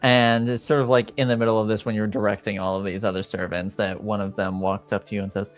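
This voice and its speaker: Low-voiced man narrating a tabletop game. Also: little pitch movement, fast talker, soft background music. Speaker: game master. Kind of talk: casual improvised game narration.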